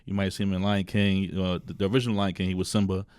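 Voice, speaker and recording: Laid-back low-voiced New man. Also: flat delivery, racing, darkish tone, clear, resonant tone, dry recording, clean studio sound. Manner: laid-back, hesitant, repeats words while thinking. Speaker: podcast co-host and DJ. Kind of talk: casual chat.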